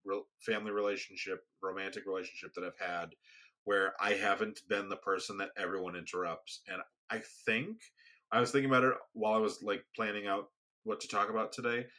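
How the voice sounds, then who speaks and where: Bright-toned soft-spoken man chatting. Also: steady tone, a little fast. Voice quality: booming when raised. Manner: soft-spoken, rambling with many restarts. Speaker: podcast co-host. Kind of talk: casual conversation. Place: home studio, remote recording.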